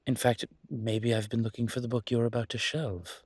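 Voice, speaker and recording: A man playing a charming, teasing mafia boss who flirts politely in an intimate roleplay audio drama, with playful pauses. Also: medium pitch, level tone, a little mellow, husky, no echo, clean studio sound, natural voice. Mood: playful flirting.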